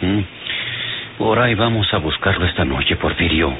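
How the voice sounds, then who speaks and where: A man's voice: low voice, animated, normal speed. An actor playing an eager, vengeful sidekick gunman, in old radio drama style. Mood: eager, insistent. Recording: noisy, phone-like old radio recording.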